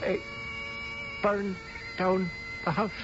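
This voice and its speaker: Solemn servant, high pitch, very slow halting delivery, obsequious, radio play. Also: male voice, flat delivery. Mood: solemn, deferential. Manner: halting, obsequious, flowery address. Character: deferential Indian manservant, superstitious and solemn. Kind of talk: radio drama acting. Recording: dull old radio recording, faint music.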